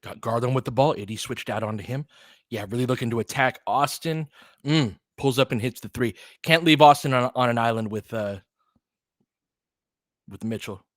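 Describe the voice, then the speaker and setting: A man's voice: mid-range voice, moderate pace, dry recording, clean studio sound. A man hosting a basketball stream, giving casual play-by-play mixed with chat in a conversational, slangy way with clipped phrases.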